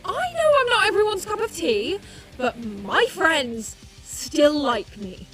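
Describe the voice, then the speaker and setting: A woman's voice: extremely high pitch, big pitch swings, slow pace, fairly bright tone, faint music behind, full character voice. A woman acting a quirky, sincere, perky teenage hero in a superhero audio drama. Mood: sincere defiance. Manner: curious, perky.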